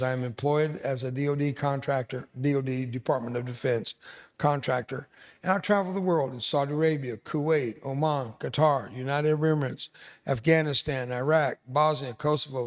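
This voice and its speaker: An older man from South Texas whose first language was Spanish, giving an emphatic motivational speech to an audience and repeating his key lines. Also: on the high side. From South Texas, USA. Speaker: veteran speaker, resiliency trainer.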